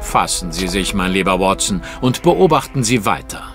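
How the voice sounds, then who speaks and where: An actor playing a composed, secretive Victorian detective in an audio drama, speaking formally and with dry brevity. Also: male voice, sits low, big pitch swings, moderate pace, over music. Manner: formal, composed, dryly laconic.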